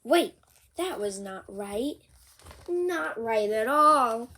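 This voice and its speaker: A reader voicing an excitable, bossy female storybook pig who exclaims and blurts out loud nonsense. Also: medium pitch, very slow, pitched below the performer's own voice, exaggerated voice. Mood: loud blurting.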